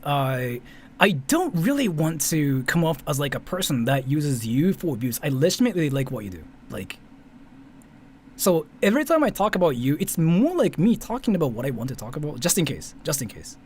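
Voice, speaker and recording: Male YouTube commentator, native Japanese speaker, slightly high voice, very animated delivery, loose and repetitive unscripted talk. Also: slight background noise. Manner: rambling, repeats himself, often checks with "right?". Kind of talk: casual off-the-cuff commentary.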